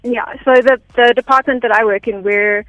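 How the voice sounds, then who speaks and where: A woman's voice: a little high, steady tone, normal speed, fairly bright tone, no echo, lo-fi sound. South african woman chatting as a radio guest, explains stuff plainly, dry sound, music underneath. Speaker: radio interview guest, air traffic information officer. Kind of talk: conversational explanation in an interview. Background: faint background music.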